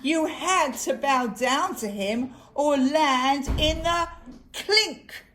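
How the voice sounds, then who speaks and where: A woman narrating a rhyming children's story with a theatrical, drawn-out delivery. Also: high-pitched, lively intonation, fairly bright tone, a little hiss or hum. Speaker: puppet show performer. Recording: music behind the voice.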